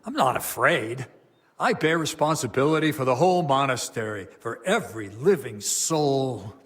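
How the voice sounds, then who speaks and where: A man's voice: medium pitch, expressive, moderate pace, fairly bright tone, natural voice. A man playing a grave, stern medieval abbot. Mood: angry, commanding. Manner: grave, authoritative, formal. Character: stern, burdened abbot of a plague-struck medieval monastery. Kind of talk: dramatic video game cutscene acting.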